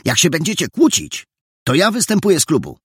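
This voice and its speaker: A man reading an audiobook and voicing a small, earnest boy who speaks up quickly and indignantly, with a husky, creaky grain. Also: mid-range voice, very animated, no room sound, studio quality, voice pushed up, exaggerated voice.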